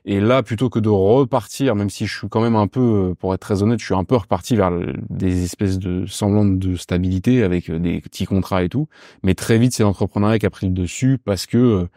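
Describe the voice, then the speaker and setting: Low, mellow-voiced Parisian man in his thirties. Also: fairly quick, no room sound, clean studio sound. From Paris, France. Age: 30s. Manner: relaxed, informal, many fillers and slang, searching for words. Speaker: podcast guest. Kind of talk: casual conversational interview.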